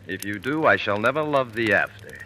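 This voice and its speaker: An actor playing a two-faced military ensign, a sly, insistent villain, in old radio Shakespeare style. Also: male voice, fairly low pitch, very animated, fairly quick, pushed and bright, a little hiss or hum. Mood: sly goading. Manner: sly, insistent, theatrical cajoling. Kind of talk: 1940s radio Shakespeare acting. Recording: old radio broadcast with music.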